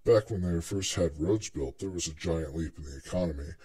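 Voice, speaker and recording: A man's voice: low-pitched, a little fast, warm tone, breathy edge. A man reading scripted story narration as the narrator of a dramatic reading.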